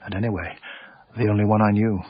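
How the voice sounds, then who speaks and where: A man playing a cultured, sardonic art dealer with an English accent and a husky voice, narrating a radio drama in a measured, literary way. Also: fairly low pitch, little pitch movement, no room sound, natural voice. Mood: enraptured. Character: wry, cultured, obsessive small-time art dealer narrator. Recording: dry, slightly muffled radio broadcast.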